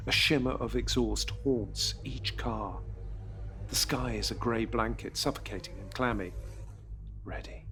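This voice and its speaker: A man narrating a dramatic audio drama, hushed and tense, then urgent. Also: fairly low pitch. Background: music.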